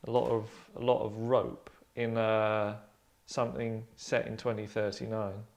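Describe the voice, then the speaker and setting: Rambling, deadpan man in his thirties. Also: lowish voice, unhurried, gravelly. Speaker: writer and host. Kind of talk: casual group discussion. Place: furnished indoor lounge.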